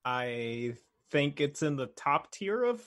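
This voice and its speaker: A man co-hosting a casual podcast chat, low-key and dryly joking. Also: big pitch swings, slow, fairly bright tone, no room sound. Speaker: podcast co-host.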